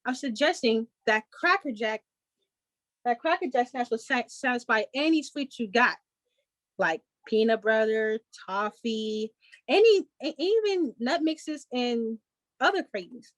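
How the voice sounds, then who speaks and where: A woman's voice: a little high, animated, a little slow, no echo. A woman playing a chipper 1940s radio show host, giving scripted announcements in a showy, presentational way. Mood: showy, upbeat.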